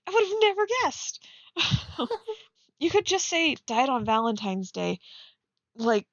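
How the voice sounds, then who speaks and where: A woman's voice: high-pitched, sing-song, takes it slowly, no room sound, muffled recording. A woman chatting casually on a stream as she reads aloud and critiques, teasing and blunt with sarcastic asides. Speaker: streamer reading and critiquing fan fiction. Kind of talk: casual live commentary mixed with reading aloud.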